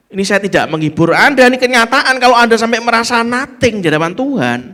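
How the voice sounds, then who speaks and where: A man with a Javanese accent preaches as pastor in a fairly high voice. He talks with emphasis, pressing his points and calling for amens. He slips local Javanese phrases into his sermon. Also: fairly bright tone. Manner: emphatic, calls for amen.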